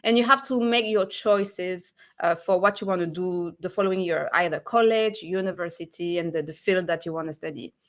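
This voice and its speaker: A woman's voice: on the low side, normal speed, hardly any pauses. A woman from France hosting a podcast, speaking with a French accent in an enthusiastic, encouraging way. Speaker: host. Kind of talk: conversational podcast interview.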